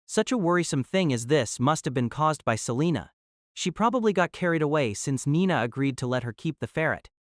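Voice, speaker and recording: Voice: medium pitch, expressive, brisk, no room sound, studio quality. A narrator reading scripted light-novel story prose for an audio drama.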